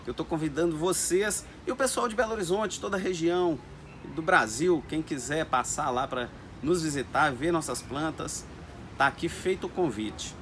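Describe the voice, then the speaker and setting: A man's voice: fairly high pitch, moderate pace, fairly bright tone, a little hiss or hum. A man, an orchid grower talking casually to the camera inside a greenhouse and often turning to address his viewers. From Brazil. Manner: chatty, keeps addressing viewers as "gente". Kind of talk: casual talk to camera with growing tips. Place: indoors in a greenhouse full of orchids.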